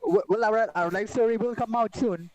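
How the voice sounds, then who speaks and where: A man's voice: high voice, moderate pace, rough recording. A man from India co-hosting a casual podcast chat, who laughs often and keeps cutting in with "wait, wait". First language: not English. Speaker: podcast co-host.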